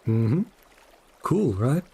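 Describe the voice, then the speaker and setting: An actor playing a calm, assured, persuasive guide in a sci-fi audio drama, speaking in a low-key, coaxing way. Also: male voice, very slow, noisy background. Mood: casually proud. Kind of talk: full-cast audio drama acting.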